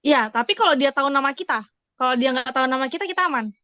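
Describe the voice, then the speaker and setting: High-pitched Indonesian woman, slightly dull recording. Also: expressive, fast, bright, forceful tone, no echo. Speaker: podcast guest. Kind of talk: casual chat. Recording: slightly dull, dry close sound.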